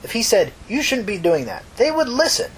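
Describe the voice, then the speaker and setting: A man's voice: very high voice, sing-song, a little fast, slight background noise, voice pushed up, rough recording. A man voicing a tired, solemn, talkative old woman with a folksy, earnest manner in a fiction reading. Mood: fond, proud.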